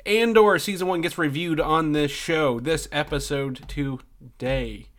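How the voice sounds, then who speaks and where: A man's voice: a little high, measured pace, a bit bright. A man co-hosting a casual podcast, congested from the flu, rambling with lots of fillers and restarts. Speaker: podcast co-host.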